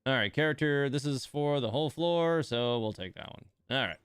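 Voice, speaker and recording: A man streaming a video game, thinking aloud in a relaxed, informal way as he gives casual live commentary. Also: mid-range voice, moderate pace, smooth, no echo.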